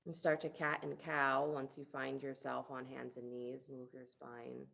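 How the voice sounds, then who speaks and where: Very deep, creaky-voiced woman teaching yoga. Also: flat delivery, measured pace, a bit bright. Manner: encouraging, conversational, cueing movements step by step. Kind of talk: guided yoga class instruction.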